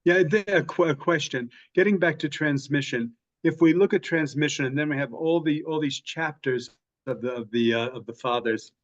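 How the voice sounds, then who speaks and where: A man's voice: on the high side, normal speed. A man in an adult study class asking a question tentatively, searching for his words. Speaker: class participant. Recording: dull sound from far off.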